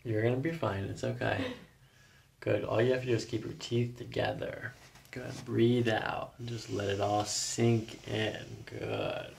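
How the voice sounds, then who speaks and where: Middle aged guy, lots of vocal fry, gentle reassuring chiropractor talking a patient thru it, bit of background noise. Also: medium pitch, flat delivery, a little slow. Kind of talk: calm step-by-step instruction to a patient during treatment. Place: small office treatment room, indoors. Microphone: microphone on boom arm near the table.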